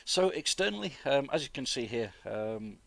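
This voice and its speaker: A man, chesty with a cold, giving relaxed, informal and rambling flight simulator commentary as a video host. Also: medium pitch, moderate pace, creaky. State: getting over flu, chesty cough. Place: dry close-miked voice-over indoors.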